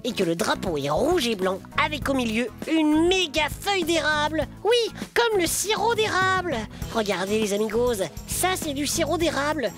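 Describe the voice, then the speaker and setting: A woman doing a bubbly Belgian teddy bear boy. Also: high voice. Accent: Belgian French. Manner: enthusiastic, slangy, exclaiming. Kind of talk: children's puppet show. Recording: music behind the voice.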